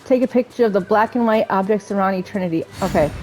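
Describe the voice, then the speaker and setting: A woman giving casual let's-play commentary, chatting and thinking out loud as she plays and reads bits aloud. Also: medium pitch, fast talker, slight background noise, rough recording. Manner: chatty, thinking out loud, trails off and repeats herself. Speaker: gamer playing and reading game dialogue aloud.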